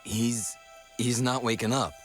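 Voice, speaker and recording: A man's voice: medium pitch, steady tone, unhurried, faint music behind, close to an everyday voice. A man playing a skeptical, easygoing sidekick in an audio drama, with a wry, joking delivery. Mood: alarmed.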